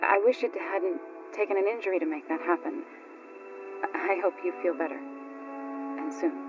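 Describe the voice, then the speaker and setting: An actress playing a solemn, devout tribeswoman in a video game, speaking in a measured, earnest and formal storytelling way. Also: on the low side, close to an everyday voice. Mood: gentle, earnest. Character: solemn, devout tribeswoman who reveres machine gods. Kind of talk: dramatic video game acting. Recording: dull, compressed game audio. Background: prominent background music.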